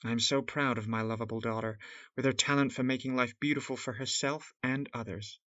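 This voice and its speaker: A man playing a gentle, loving father praising his daughters after returning home, in an audio drama. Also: medium pitch, steady tone, no echo, highs cut off, natural voice. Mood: tender. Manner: warm, measured praise. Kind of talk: radio drama acting.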